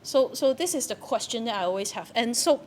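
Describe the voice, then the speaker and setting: A woman's voice: a little high, lively intonation, brisk, darkish tone. A young woman, a theatre practitioner, talking in a searching, questioning way and often repeating herself. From Hong Kong. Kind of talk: reflective conversation. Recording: faint background noise.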